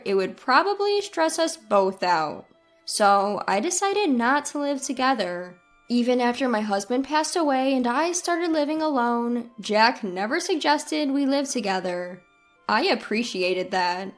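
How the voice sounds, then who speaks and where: High-voiced woman narrating over music. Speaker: story narrator. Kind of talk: scripted first-person story narration. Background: background music.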